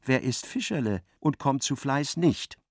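An actor narrating a literary radio play, an expressive and ironic storyteller. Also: male voice, medium pitch, a little slow, dry recording, studio quality. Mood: wry narration. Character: wry literary narrator of a novel, male.

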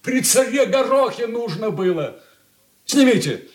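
A man's voice: very high voice, expressive, unhurried. An actor playing an irritable, pompous grown son who bosses his family in a 1980s Soviet radio play, in a roomy studio. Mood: scornful. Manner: peevish, haughty, emphatic.

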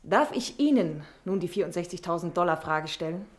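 Woman, played by an actress, radio play, lowish voice, blunt, wry, sharp-tongued. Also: lively intonation, fairly quick, close to an everyday voice. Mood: candid, curious. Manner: blunt, dryly teasing. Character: frank, sharp-tongued, wry working woman, Miss Mortimer. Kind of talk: radio drama acting.

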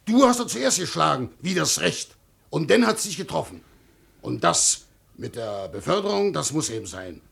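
Very gravelly, dutiful Prussian official. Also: male voice, very animated, moderate pace, a bit bright, exaggerated voice. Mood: stern. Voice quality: gravelly, husky. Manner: emphatic, admonishing, swinging in pitch. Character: rigid, dutiful male Prussian official, exasperated believer in order. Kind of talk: 1950s German radio play acting.

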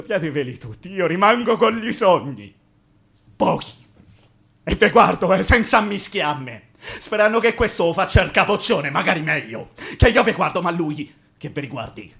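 The ghost of a Roman labourer killed on site, in a fairly high male voice. He rambles in thick street dialect, bitter and tender at once. Phrases return like an incantation, in the manner of a theatrical radio play. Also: a bit bright. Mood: wistful. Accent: Roman Italian. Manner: rambling, colloquial, incantatory repetition. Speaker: stage actor.

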